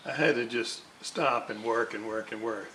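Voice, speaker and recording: A man giving a casual hands-on repair walkthrough, halting and muttering to himself as he works. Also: mid-range voice, bright, forceful tone, a little hiss or hum, rough recording. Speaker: hobbyist radio restorer, presenter.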